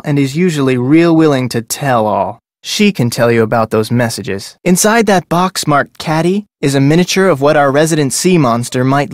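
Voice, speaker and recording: A man's voice: on the high side, no echo, clean studio sound, lightly acted. A man voicing a chatty, salesmanlike whale-watch operator with a sly side in a video game, with a breezy, glib way of talking. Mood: friendly, brisk. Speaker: game voice actor. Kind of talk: video game character dialogue.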